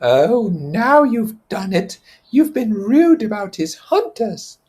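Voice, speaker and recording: A man voicing an anxious, scolding, bespectacled schoolboy with a high squeaky voice in a storybook reading. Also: big pitch swings, slow, a little mellow, husky, full character voice. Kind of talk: storybook character voice.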